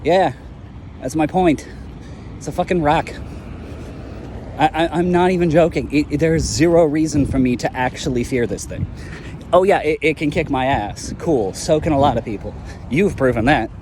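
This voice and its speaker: A man's voice: fairly high pitch, moderate pace, long pauses, noisy background, close to an everyday voice. A man voicing a brash, profane young hero in an amateur audio drama who talks bluntly and defiantly. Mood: defiant, cocky.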